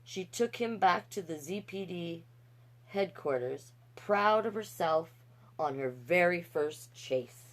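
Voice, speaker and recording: A woman hosting a livestreamed storytime, reading picture books in an animated way with chatty, encouraging asides to viewers. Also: on the low side, slow. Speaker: storytime host and reader.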